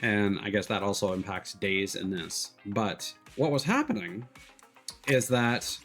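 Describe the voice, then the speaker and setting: Low-voiced man, halting game commentary over music. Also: lively intonation, a bit bright. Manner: halting, analytical explaining. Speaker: streamer, host of a gaming live stream. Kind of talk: casual live-stream game commentary. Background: background music.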